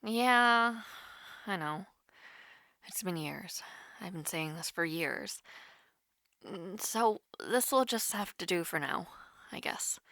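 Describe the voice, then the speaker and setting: A woman's voice: lowish voice, animated, slow, long pauses, bright, forceful tone, no room sound, clean studio sound, full character voice. A woman voice-acting a nervous, playful young woman on a call with her online crush, hesitant and teasing in an intimate audio roleplay. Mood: resigned, casual.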